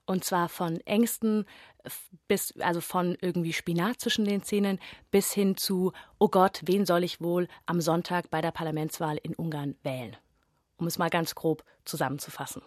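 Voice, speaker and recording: A woman's voice: fairly low pitch, steady tone, normal speed, no room sound, clean studio sound. A young woman theatre performer from Bavaria answering radio interview questions thoughtfully, with many fillers and emphatic stretches.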